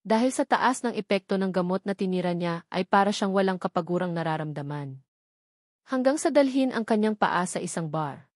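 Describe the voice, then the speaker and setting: A woman's voice: medium pitch, darkish tone, dry recording. Dry-sounding woman narrating an audiobook.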